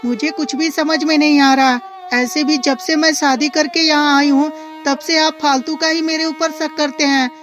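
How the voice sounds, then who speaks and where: A woman's voice: high-pitched, fairly even intonation, normal speed, fairly bright tone, voice pushed up. A woman voicing a wronged, indignant young wife who protests earnestly in a melodramatic cartoon moral story.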